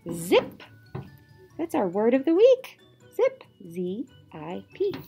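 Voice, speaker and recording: A young woman reading a children's story like a teacher, animated, with playful sound words. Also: a little high, takes it slowly, gravelly, faint music behind. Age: young adult. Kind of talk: children's story read-aloud. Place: small indoor classroom or office.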